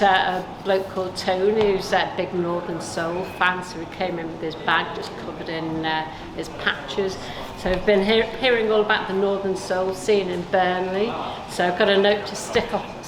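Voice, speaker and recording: A woman artist informally explaining her project in an interview, chatty, hesitant and rambling. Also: medium pitch. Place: indoor gallery unit in a shopping centre. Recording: echoey room with clear background noise.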